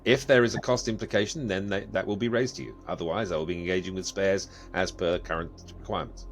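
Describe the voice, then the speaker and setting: A man's voice: sits low, fairly even intonation, quick pace, soft background music, close to an everyday voice. A man playing a polite, methodical AI overseer in a sci-fi audio drama, speaking in formal procedural terms. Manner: formal, procedural wording with hesitations. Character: polite male AI overseer, methodical and matter-of-fact.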